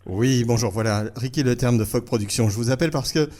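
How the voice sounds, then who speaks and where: A man's voice: medium pitch, quick pace, darkish tone, close to an everyday voice. A man playing a businesslike but easily annoyed TV producer on a prank call, hesitant and repeating words. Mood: polite, businesslike. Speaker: radio host making prank call. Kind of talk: prank phone call, semi-formal pitch.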